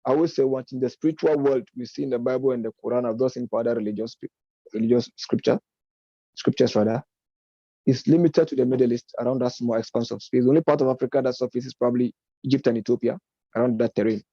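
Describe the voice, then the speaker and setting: Man arguing a point in a dark voice. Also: mid-range voice, dry recording, dull audio. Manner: argumentative, self-correcting, measured delivery. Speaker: speaker on politics and religion. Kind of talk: unscripted lecture-style commentary.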